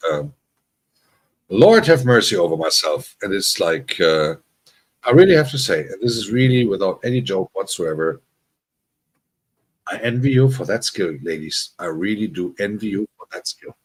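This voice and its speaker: A man's voice: low-pitched, big pitch swings, moderate pace, slightly rough. A man from Germany, hosting a casual livestream chat and rambling with many restarts and self-deprecating asides. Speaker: livestream host.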